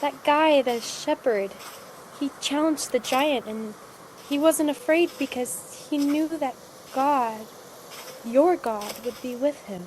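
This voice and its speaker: A woman plays a young desert girl in a cinematic audio drama. Her voice is very high, questioning and hesitant, with an anxious, breathless edge, set against clearly audible ambient noise. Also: slow pace, darkish tone, poor audio. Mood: curious, wondering.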